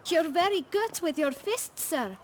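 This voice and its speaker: Woman as very high-pitched cheeky street boy. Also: lively intonation, measured pace, a little hiss or hum. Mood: eager, cajoling. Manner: glib, eager, mock-polite. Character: cheeky young bootblack boy, wily street hustler. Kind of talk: video game cutscene acting.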